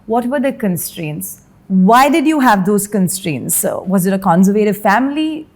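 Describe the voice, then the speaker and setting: A woman hosting an interview, asking gentle, encouraging follow-up questions. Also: mid-range voice, animated, normal speed. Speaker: interview host.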